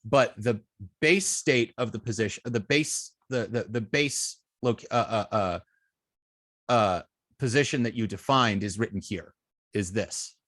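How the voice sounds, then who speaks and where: A man teaching informally as an instructor, thinking aloud with halting, repeated and restarted phrases. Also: lowish voice, fairly bright tone, no echo, studio quality. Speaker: web design instructor.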